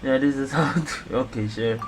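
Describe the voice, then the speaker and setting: Casual gamer man over loud noise. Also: medium pitch, moderate pace. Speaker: gaming streamer. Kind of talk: casual gameplay commentary. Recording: loud game noise behind voice.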